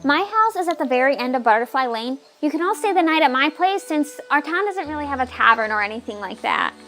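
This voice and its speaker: Woman as young fantasy townswoman, high pitch, casual, friendly, eager to help, background music. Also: animated, brisk, sharp tone. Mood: friendly, helpful. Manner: casual, chatty, obliging. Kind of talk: fantasy audio drama acting.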